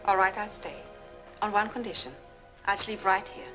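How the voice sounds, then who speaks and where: An actress playing a troubled, demure young French widow in a 1940s Hollywood film. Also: female voice, medium pitch, normal speed, muffled recording, close to an everyday voice. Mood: hesitant, grateful. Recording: old film soundtrack, music behind.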